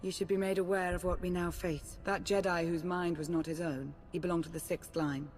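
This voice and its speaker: An actress playing a cool, shrewd, formal intelligence minister in a game cutscene, speaking with measured poise. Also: female voice, little pitch movement, darkish tone, lightly acted. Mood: cool, composed. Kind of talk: dramatic game cutscene acting. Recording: long reverb, faint rumbling noise.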